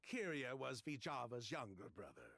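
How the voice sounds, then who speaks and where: An actor playing a shady, defensive perfume merchant with a theatrical, condescending, insincerely polite manner in a video game. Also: male voice, medium pitch, very animated, moderate pace, vocal fry, heavy background noise. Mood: resigned, bitter.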